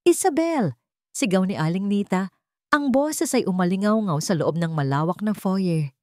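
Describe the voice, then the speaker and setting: A woman's voice: mid-range voice, lively intonation, moderate pace, no room sound, clean studio sound. A woman narrating a scripted romance story in a dramatic style, as a storyteller.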